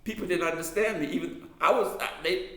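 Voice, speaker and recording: An older man with a mild Southern American accent and a slightly high voice talks to a class in a loose, wandering way, stopping and restarting his sentences often. The room around him is echoey. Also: brisk, bright, forceful tone. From Alabama, US South. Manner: digressive, many restarts and fillers. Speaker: author and lecturer. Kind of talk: rambling talk to a class.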